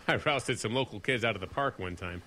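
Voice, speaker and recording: A man's voice: on the low side, moderate pace, pushed and bright, slight background noise. A man acting an earnest, cautious bush pilot in a horror audio drama, talking with some hesitation. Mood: thoughtful.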